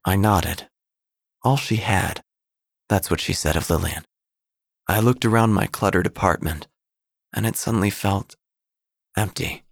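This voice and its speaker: A man narrating a dark comic story in a dry, deadpan way. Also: sits low, a little slow, gravelly, long pauses, no room sound. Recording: music bed under the voice.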